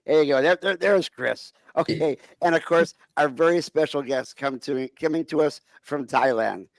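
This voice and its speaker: A man's voice: on the high side, moderate pace, gravelly, no echo. A man hosting an online talk show over a video call, introducing guests and asking questions in a chatty, upbeat way. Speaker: show host.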